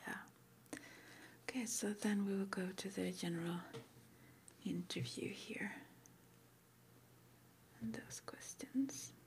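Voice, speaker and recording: A woman doing an ASMR roleplay in a breathy, unvoiced whisper, with a gentle, unhurried manner. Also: mid-range voice. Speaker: ASMR roleplay performer playing a witch school interviewer.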